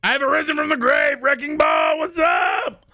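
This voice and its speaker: A man with a worn-out, husky voice chatting casually with his live-stream viewers and shouting greetings to newcomers. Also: very high-pitched, lively intonation, normal speed, faint music behind. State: hoarse, worn-out voice.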